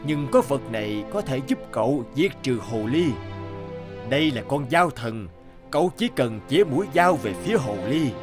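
A man's voice: medium pitch, big pitch swings, normal speed, close to an everyday voice. Casting: male actor for old bearded mountain deity, stern, benevolent, grave warnings, children's animation, music behind. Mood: solemn. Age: older. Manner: solemn, admonishing. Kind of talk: children's fairy-tale cartoon dubbing.

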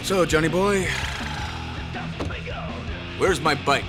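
An actor playing a cocky, sardonic, foul-mouthed biker gang boss in a video game, with a mocking, swaggering manner. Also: male voice, fairly high pitch, slow pace, loud music behind the voice. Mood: menacing. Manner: mocking, swaggering, swinging from fake meekness to menace. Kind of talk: video game cutscene acting.

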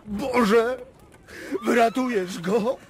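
An impassioned, slow-spoken wounded prince commander. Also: male voice, very high voice, heavily acted. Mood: desperate pleading. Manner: impassioned, declamatory. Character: proud, anguished prince and military commander, wounded in battle. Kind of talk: theatrical radio drama acting.

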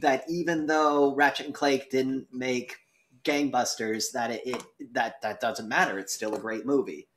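A man's voice: medium pitch, fairly even intonation, unhurried, fairly bright tone. A man co-hosting a debate in a casual, blunt way. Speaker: podcast co-host, debate moderator. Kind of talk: casual chat. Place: wood-panelled room, shared table. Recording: noisy room mic with clicks.

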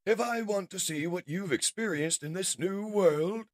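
A man's voice: sits high, unhurried, voice pushed up. A man voicing a cold, imperious villain robot scientist in a pompous, menacing, formal manner, as in a comic-book story. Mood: cold menace.

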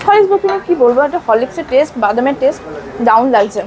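Woman, high voice, fast enthusiastic food chat, crowded restaurant noise behind. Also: sing-song. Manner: enthusiastic, repeats praise words. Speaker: food vlogger. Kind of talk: casual food review chat. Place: busy restaurant. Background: crowd chatter.

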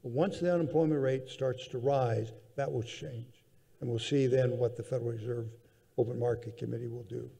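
Older male panel guest, gravelly and measured, echoing hall. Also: mid-range voice, fairly even intonation, mellow. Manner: measured, thoughtful, with frequent restarts. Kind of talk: conference panel discussion. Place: large conference hall stage, seated in armchair. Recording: reverberant convention hall.

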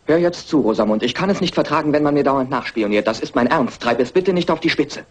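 An actor plays an exasperated, evasive husband in a crime radio drama. He talks quickly in a fairly high voice. His manner is defensive. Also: lively intonation, natural voice. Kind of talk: German crime radio play acting.

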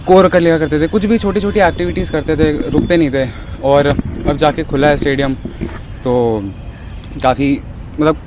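A young male athlete interviewed outdoors, pausing often with filler sounds as he answers. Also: fairly high pitch, darkish tone, slight background noise. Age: young adult. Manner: hesitant, many filler sounds. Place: outdoor stadium grounds. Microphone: handheld reporter mic.

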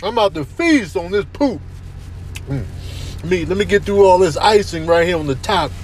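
A high, animated voice for a smug, hungry critter done by a man. It gloats in slang in a comic animal dub, with faint noise behind it. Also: moderate pace. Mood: gloating, eager.

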